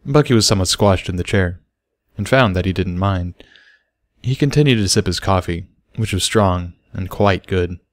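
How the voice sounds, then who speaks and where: Guy reading a story, lowish voice, dry recording with no room sound. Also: normal speed, no echo. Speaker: audiobook reader. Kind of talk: scripted story narration.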